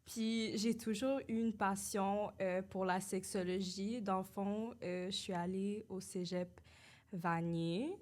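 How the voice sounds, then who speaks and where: A woman's voice: mid-range voice, steady tone, slow, darkish tone. A young woman, an English speaker, co-hosting a casual chat podcast in French, hesitant with many fillers. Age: young adult. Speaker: podcast co-host.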